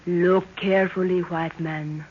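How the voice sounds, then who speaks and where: A woman's voice: fairly low pitch, little pitch movement, unhurried, close to an everyday voice. An actress playing a mysterious, proud young African native girl, speaking formally in an old radio drama. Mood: solemn, mysterious. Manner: solemn, formal, refers to self by name. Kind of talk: 1930s radio acting. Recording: muffled, faintly noisy 1930s radio recording.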